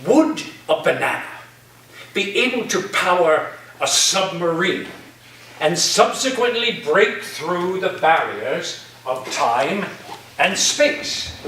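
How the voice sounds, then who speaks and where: A whimsical theatrical narrator, played by a man, delivers a stage monologue in deliberate phrases. The voice is bright and lively in pitch, heard from far off in a reverberant space. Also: heavily acted. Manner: deliberate, pausing between phrases. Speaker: actor in a staged reading. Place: community center stage. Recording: echoey hall, distant mic, background noise.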